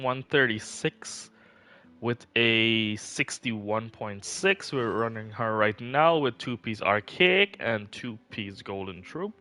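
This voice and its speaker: A man's voice: mid-range voice, pushed and bright. A man livestreaming a game, chatting casually in a rambling, informal way. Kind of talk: casual livestream chat about game builds.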